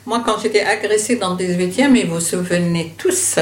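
A woman's voice: mid-range voice, a little fast, slight background noise. Blunt older woman, roomy room. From Syria. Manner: blunt, dismissive, talks over her questioner. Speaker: interviewee, the producer's mother. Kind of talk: candid family conversation.

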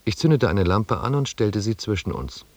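An actor playing a reflective expedition scribe who narrates a radio drama in a measured, restrained and quietly melancholy way. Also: male voice, lowish voice, animated. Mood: sober narrating. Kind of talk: scripted first-person narration in a German radio drama.

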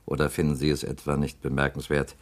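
A scheming, insinuating politician. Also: male voice, rumbling low, monotone, fast talker. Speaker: politician confronting a company director. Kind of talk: radio drama acting.

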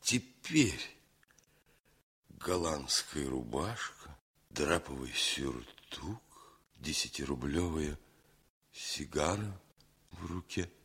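Radio play role: a blunt, proud lieutenant, very deep, forceful, husky, soldierly. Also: male voice, expressive, takes it slowly, pauses often, exaggerated voice. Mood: resentful brooding. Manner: soldierly, plain-spoken, emphatic. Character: blunt, proud, hearty male army lieutenant.

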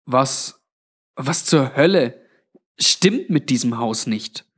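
A man's voice: mid-range voice, lively intonation, slow pace, husky. A man voicing a frightened, defiant young woman with an agitated, insistent manner in a narrated story. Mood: shaken.

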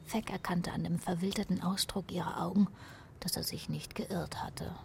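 Creaky female radio play narrator. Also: on the low side, fairly even intonation, moderate pace, whispered.

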